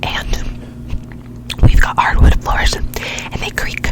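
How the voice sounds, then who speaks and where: A young woman with a cold, nasal and stuffy, rambling in a soft ASMR whisper at home, close to a sensitive mic and repeating words playfully. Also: takes it slowly, low-quality recording. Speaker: ASMR creator. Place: home bedroom with dim warm lamp. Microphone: very close sensitive mic, gain turned up high.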